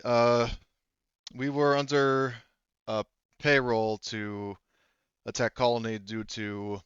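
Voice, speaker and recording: A man playing a halting captured mercenary on trial. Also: medium pitch, level tone, fairly bright tone, clean tone, no echo, highs cut off. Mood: halting, frank. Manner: halting, frank. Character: captured male mercenary testifying as a prisoner.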